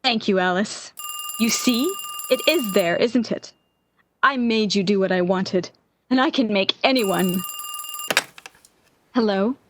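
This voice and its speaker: An actress playing an obsessed, manipulative woman in love, theatrical and deliberate, in 1940s radio drama style. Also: medium pitch, slight background noise, close to an everyday voice. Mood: triumphant, possessive.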